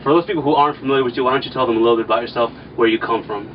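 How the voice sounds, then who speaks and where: A man's voice: medium pitch, rapid-fire. A young man from the American Midwest interviewing a guest in a laid-back, slangy way, with rambling questions. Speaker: interviewer, nonprofit president. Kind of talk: casual interview. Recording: distant, dull, noisy.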